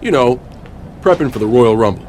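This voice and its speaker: A man's voice: mid-range voice, animated, brisk, darkish tone, natural voice. A man playing a swaggering, slangy, teasing star wrestler in a video game cutscene, with faint noise behind. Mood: breezy, teasing.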